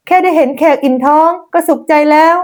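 Woman voicing a high-pitched, meek young daughter-in-law. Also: quick pace, natural voice. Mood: gentle, content. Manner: polite, submissive, soft-hearted. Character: meek, kind-hearted young daughter-in-law in a folk tale.

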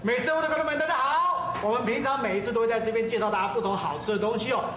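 A woman's voice: a little high, racing. A woman hosting a television food segment in a cafe, bubbly and full of exclamations. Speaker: TV food show host. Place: indoor cafe. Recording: echoey cafe with loud music.

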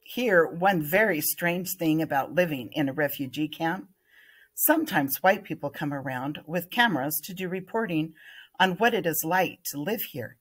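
Husky older American woman reading aloud. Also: low-pitched, expressive, moderate pace. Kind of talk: expressive children's read-aloud. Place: indoor room, at a computer. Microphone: webcam screen recording.

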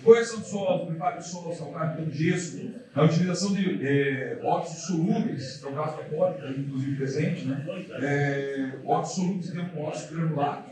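A man's voice: fairly high pitch, fairly quick, a crowd in the background. A man giving a casual seminar talk to an audience in a large hall, repeating himself with many fillers. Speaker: lecturer. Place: large dark lecture hall. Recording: echoey hall from far off, audience.

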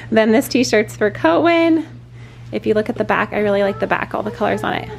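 A woman's voice: mid-range voice, steady tone, moderate pace, creaky, a little hiss or hum. Chatty mom vlogging from her car. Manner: chatty, rambling with lots of "like" and "um". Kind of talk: casual vlog chat. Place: parked car at a gas station, later a hotel room. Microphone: camera held at arm's length.

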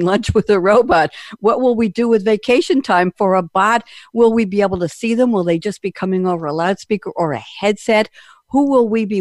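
A woman's voice: mid-range voice, no room sound, studio quality. A woman from the United States hosting a radio talk show, chatty and upbeat, laughing often.

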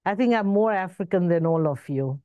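A woman in her sixties, born in Zambia and raised in Zimbabwe, giving a slow reflective spiritual talk over a video call. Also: expressive, no echo, phone-quality sound. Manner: slow, measured, rising and falling in pitch. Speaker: spiritual speaker. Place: indoor room with curtains. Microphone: video call webcam.

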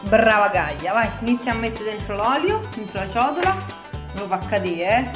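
A woman's voice: mid-range voice, expressive, normal speed, music in the background. Encouraging mom cooking with her kid. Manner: coaxing, encouraging, praising each step. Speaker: mother cooking with her young daughter. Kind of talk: casual home cooking video. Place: home kitchen.

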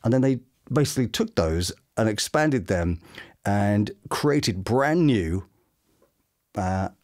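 Animated, enthusiastic middle-aged British man. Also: lowish voice, takes it slowly. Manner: enthusiastic, expressive storyteller. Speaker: voice actor interviewed as guest. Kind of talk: animated anecdotal interview chat. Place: small home studio room. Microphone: boom-mounted studio microphone.

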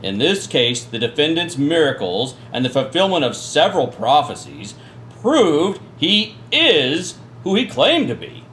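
A man playing a judge in a church radio play, slow and formal. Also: a little high, wildly swooping pitch, a bit bright, a little hiss or hum.

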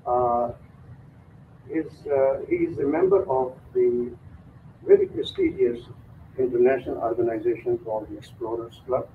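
An older man hosting, introducing a guest speaker in a halting way with many fillers. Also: mid-range voice, very animated, slight background noise. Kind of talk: spoken introduction. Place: mosque lecture room. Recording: tinny lo-fi sound.

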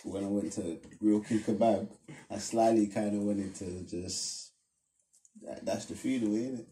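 A man's voice: fairly low pitch, normal speed, warm tone, slight background noise. A young man with a London accent, a podcast co-host talking in a laid-back, dismissive way. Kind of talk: casual chat. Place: small indoor studio room. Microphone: clip-on lapel mic.

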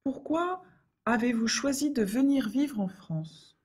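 Brief: woman, higher voice, breathy, clear scripted lesson reads. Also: lively intonation, slow pace, a little mellow. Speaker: dialogue reader for French learners. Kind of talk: scripted learner dialogues.

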